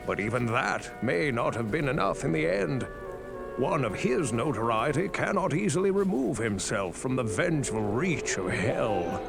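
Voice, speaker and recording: An actor playing a skeptical, grave scholar mage in a fantasy game, speaking formally and deliberately. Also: male voice, medium pitch, creaky. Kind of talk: scripted fantasy game dialogue. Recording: music behind the voice.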